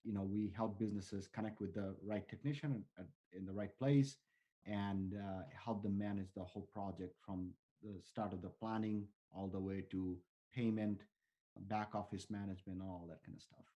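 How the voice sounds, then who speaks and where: A man's voice: lowish voice, steady tone, moderate pace, muffled recording. A man in his forties from Bangladesh, a startup founder answering interview questions in an earnest, rambling way. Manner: earnest, talks in long strings of restarts and fillers.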